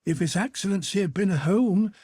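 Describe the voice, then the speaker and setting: A man voicing an old woman housekeeper in a spoken storybook, sharp-tongued with servants and kindly toward a visitor, in a raised husky voice. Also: high voice, brisk, no room sound, pitched above the performer's own voice. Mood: cordial. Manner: sharp and scolding, then cordial. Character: old woman housekeeper, bustling, scolding yet kind.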